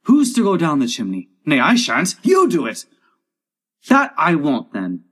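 A man voicing squabbling storybook workmen who brisk and busily call out orders to one another. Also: mid-range voice, very animated. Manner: brisk, calling out. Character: bustling, squabbling male workmen calling out orders.

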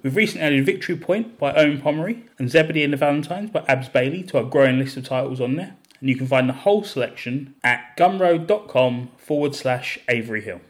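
A man hosting a comics podcast, asking questions with frequent restarts and soft laughs. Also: mid-range voice, expressive, quick pace, hardly any pauses. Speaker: podcast host. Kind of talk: casual interview.

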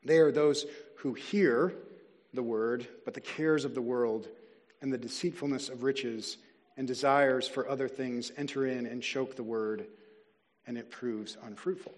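Pastor preaching in a reverberant hall. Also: male voice, medium pitch, steady tone. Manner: earnest preaching, slows to stress key words. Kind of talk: sermon. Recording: long reverb of a large hall.

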